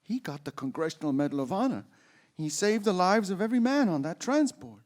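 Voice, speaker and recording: A man's voice: sits high, big pitch swings, a little fast, darkish tone. A man playing a desperate, wildly swooping everyman husband. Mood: distraught. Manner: pleading, urgent. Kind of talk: old-time radio play acting.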